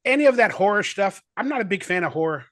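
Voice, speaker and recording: A man's voice: high voice, animated, fast talker, a bit bright. A man in his forties, a guest actor on a video call, talking in a rambling, enthusiastic way full of fillers. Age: 40s. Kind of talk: casual remote interview.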